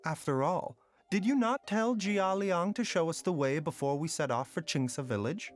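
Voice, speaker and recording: An actor voicing a calm, enigmatic doctor in a video game, speaking in a polite, formal, measured way. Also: male voice, a little high, soft background music, lightly acted.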